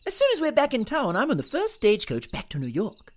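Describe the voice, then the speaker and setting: A man playing an excitable, fussy city dandy fish in a theatrical manner for a Western cartoon audio play. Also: very high voice, fast talker, heavily acted. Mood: exasperated.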